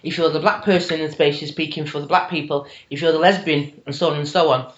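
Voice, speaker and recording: A woman's voice: low voice, steady tone, fast talker. 40s woman, emphatic, agrees a lot, group chat, echoey room, muffled lo-fi audio. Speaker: podcast participant. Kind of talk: casual group discussion. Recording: roomy, dull lo-fi recording.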